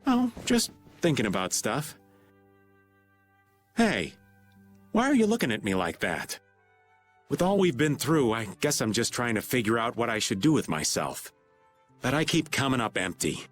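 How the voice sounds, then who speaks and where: An actor playing an easygoing, teasing, world-weary drifter with a folksy, slangy way of talking in a video game. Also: male voice, medium pitch, big pitch swings, moderate pace, long pauses, faint music behind, close to an everyday voice. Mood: aimless, weary.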